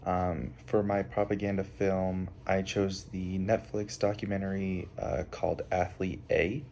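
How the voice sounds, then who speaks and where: A young man giving a prepared analysis as a student presenter, halting often with filled pauses. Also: low voice, flat delivery, vocal fry, a little mellow, slight background noise. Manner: halting, hesitant delivery with frequent filled pauses.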